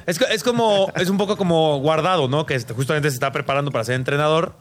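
A man's voice: on the high side, quick pace, soft background music. A man with a Mexican accent co-hosting a casual sports debate, speaking emphatically and repeating himself. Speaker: sports show co-host.

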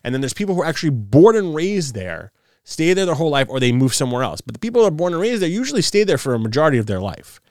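A man's voice: fairly high pitch, very animated, very fast, darkish tone, no room sound, clean studio sound. A man, ranting in a casual podcast chat, stumbling and restarting often. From New York City. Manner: ranting, many restarts and repeats. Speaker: podcast host.

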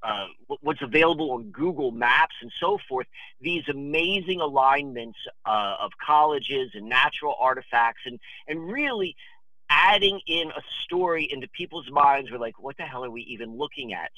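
A man in his fifties talking casually as a podcast guest, telling rambling stories in an excitable, digressive, emphatic manner. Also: medium pitch, moderate pace, no room sound, rough recording. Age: 50s. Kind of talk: animated rambling storytelling and casual chat.

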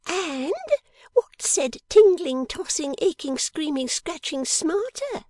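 A woman voicing a sharp, impatient, bossy young dolls' dressmaker in an audiobook, with emphatic, scolding delivery. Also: sits high, lively intonation, slow, dry recording, clean studio sound, pitched above the performer's own voice. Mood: eager, teasing. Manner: emphatic, scolding, theatrical exclamations. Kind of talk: dramatised audiobook character reading.

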